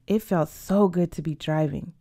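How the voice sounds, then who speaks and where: A woman's voice: fairly low pitch, normal speed, warm tone, no room sound, studio quality. A woman narrating a first-person story as a scripted audiobook reading. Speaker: audiobook reader.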